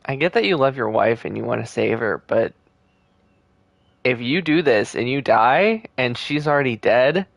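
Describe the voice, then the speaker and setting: A man's voice: medium pitch, expressive, moderate pace, vocal fry, no room sound. A man giving casual playthrough commentary as a game streamer, reacting in everyday conversational speech. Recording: faint game music behind.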